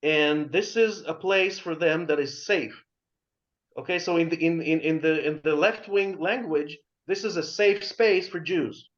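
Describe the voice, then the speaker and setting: A man's voice: fairly high pitch, a little slow. A man, arguing emphatically on a video call, repeating words and restarting sentences. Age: 40s. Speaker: panelist. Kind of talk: opinionated discussion on a video call. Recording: muffled video call audio.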